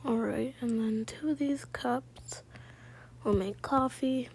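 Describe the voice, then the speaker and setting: Lady doing low-key gameplay roleplay, talks slow and soft, kinda whispery, little bit of noise behind her. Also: gravelly. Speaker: gaming video creator voicing her own gameplay.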